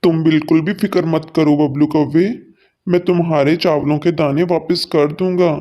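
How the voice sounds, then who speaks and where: A man's voice: a little high, steady tone, normal speed, warm tone, lightly acted. A man voicing a kindly, earnest father sparrow in a cartoon story, with a reassuring manner. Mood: earnest, pleading.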